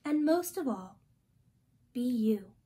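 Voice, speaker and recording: High-voiced young woman reading slowly to children. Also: animated, mellow. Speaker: storytime reader. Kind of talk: reading a children's picture book aloud. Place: small indoor room.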